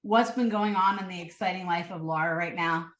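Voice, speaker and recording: A woman's voice: on the low side, brisk, a bit bright. A woman hosting a podcast, chatting casually as she interviews her guest. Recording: roomy, echoey sound.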